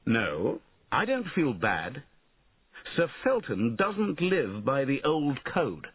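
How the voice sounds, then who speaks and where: Proud knight played by a man, storybook, emphatic, slightly husky, animated swings, phone-like recording. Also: mid-range voice, takes it slowly, no room sound, voice pushed up, full character voice. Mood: defiant. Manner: emphatic, declaiming. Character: proud, mercenary male knight, bold and disillusioned.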